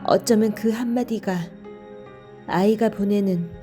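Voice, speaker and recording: A woman narrating a scripted audio drama and voicing the mother, with a soft, measured, sorrowful reading. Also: mid-range voice. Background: faint music.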